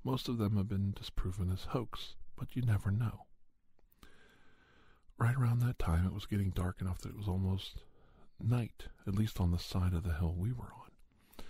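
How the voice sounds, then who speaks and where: Soft husky man telling a spooky tale. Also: deep voice, no echo. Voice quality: soft, breathy, husky, often dropping to whisper. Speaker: podcast storyteller. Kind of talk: ASMR first-person spooky storytelling.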